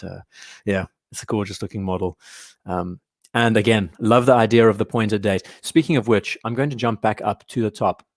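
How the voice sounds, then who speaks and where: Hoarse young man, live stream host, casual chatty talk, lowish voice, dry close sound. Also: a little mellow, no echo. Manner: chatty, clearing his throat often. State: hoarse, voice straining. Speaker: host of a live watch show. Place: at home.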